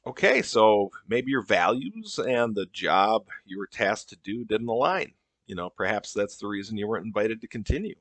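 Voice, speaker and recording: A man playing an exasperated, patronizing therapy group leader in a comedy sketch, speaking in a halting, deadpan way. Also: on the low side, no room sound. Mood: patient, patronizing.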